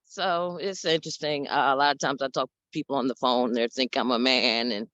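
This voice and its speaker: A woman with a deep voice and a New York accent, sharing plainly and reflectively in a group video call. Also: fairly even intonation, fairly quick, fairly bright tone, dry recording, highs cut off. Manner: straightforward, reflective, laughs between thoughts. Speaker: group participant.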